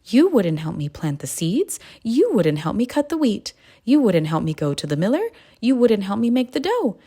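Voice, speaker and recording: A woman voicing an industrious, indignant little red hen in an emphatic, animated way for a children's storybook. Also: a little high, normal speed, slightly rough, pitched above the performer's own voice. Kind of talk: children's storybook read-aloud.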